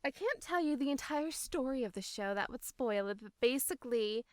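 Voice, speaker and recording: A woman's voice: high-pitched, animated, moderate pace, dry recording, studio quality. A young woman chatting casually as an interview guest, talking in an enthusiastic, emphatic way. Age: young adult. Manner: enthusiastic, emphatic, laughing as she talks. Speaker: voice actor interviewee.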